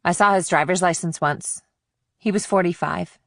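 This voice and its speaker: A woman's voice: lowish voice, normal speed, vocal fry, a bit bright, no echo, studio quality. A woman voicing a blunt, inquisitive fourteen-year-old girl in short, probing audiobook dialogue. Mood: guarded.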